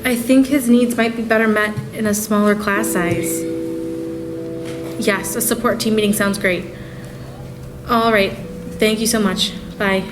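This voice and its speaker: A woman's voice: medium pitch, fairly even intonation, measured pace, pauses often, over music, natural voice. A woman acting a firm, exasperated but caring schoolteacher in a radio play. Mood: concerned, polite.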